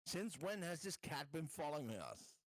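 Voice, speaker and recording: A man's voice: on the high side, very animated, normal speed, no echo, studio quality. A man chatting casually as a player in a tabletop roleplaying game. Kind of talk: casual chat, playing in character.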